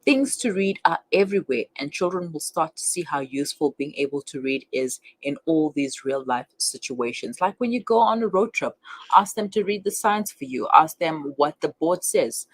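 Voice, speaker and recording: A woman hosting a radio talk show, speaking in a somewhat hesitant, halting way. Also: deep voice, animated, no echo, low-quality recording. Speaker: radio host.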